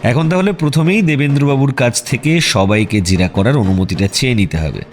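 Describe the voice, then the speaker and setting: A man playing a composed, detached private detective who talks in a measured way in an audio drama. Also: medium pitch, close to an everyday voice. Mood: reasoning. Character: calm, methodical male private detective. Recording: faint music behind the voice.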